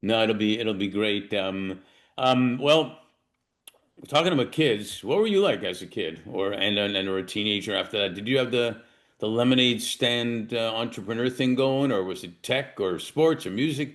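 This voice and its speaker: A man's voice: on the low side, lively intonation, moderate pace, fairly bright tone. Joking, chatty male interview host. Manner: jokey, chatty, laughs often. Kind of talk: casual interview conversation.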